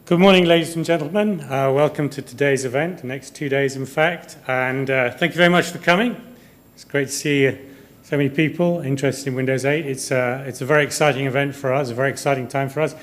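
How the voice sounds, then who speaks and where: A man's voice: medium pitch, steady tone, fast. A man in his fifties from Kuwait giving a conference presentation to developers in a hesitant, rambling way, often repeating words. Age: 50s. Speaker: conference speaker, software company director. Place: hotel conference room, indoors.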